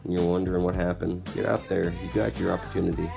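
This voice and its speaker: Male, 20s, low gravelly voice, blunt candid podcast monologue with music underneath. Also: little pitch movement, fast talker. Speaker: podcast host. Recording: music playing behind the voice. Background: background music.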